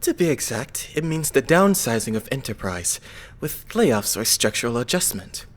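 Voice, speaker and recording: A man's voice: mid-range voice, very animated, moderate pace. A man voicing a pious, shrewd, composed young idol in an anime game fandub, speaking politely and analytically. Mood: explanatory.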